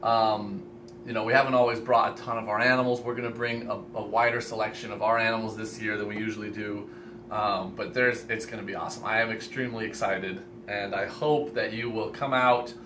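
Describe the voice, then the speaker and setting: A man's voice: fairly low pitch, fairly quick. A man in his twenties or thirties making a casual, earnest and enthusiastic announcement to camera as a vlog host. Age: 20s to 30s. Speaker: host, reptile expo organizer. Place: indoor room lined with reptile racks. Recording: steady ambient room noise.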